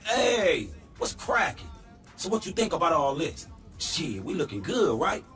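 Slangy streetwise businessman with lively delivery. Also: fairly high pitch, unhurried, soft background music. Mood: upbeat pitch. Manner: slangy, swaggering. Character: slick ex-hustler businessman, confident, streetwise, easygoing. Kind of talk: video game cutscene acting.

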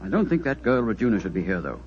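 An actor playing Sir Christopher, a snobbish English gentleman, in a 1940s radio drama, with a pompous upper-class manner. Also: lowish voice, very animated, fast talker. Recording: dull lo-fi old radio broadcast.

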